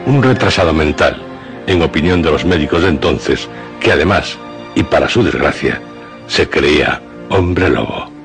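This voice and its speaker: A man's voice: extremely low pitch, expressive, normal speed, music in the background. A man with a Castilian Spanish accent narrates a scripted radio horror tale in a solemn, ominous, dramatic way.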